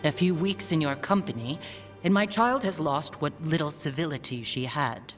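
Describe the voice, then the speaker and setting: An actress voicing a stern, proud middle-aged scholar mother in a video game, speaking formally and with measured restraint. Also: sits low, animated, soft background music. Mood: cool, stern. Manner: formal, measured, clipped diction without warmth. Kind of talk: video game dialogue acting.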